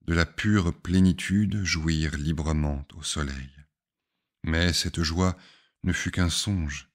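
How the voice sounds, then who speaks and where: A man reading spiritual poetry aloud in a solemn, measured recitation, phrase by phrase with deliberate pauses. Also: low-pitched. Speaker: reader of spiritual poems.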